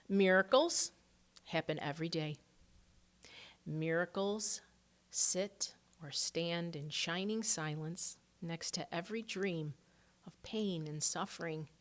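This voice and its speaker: A middle-aged woman hosting a spiritual podcast, talking earnestly and heartfelt, dropping to a soft hush on reflective passages. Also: fairly low pitch. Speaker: podcast host.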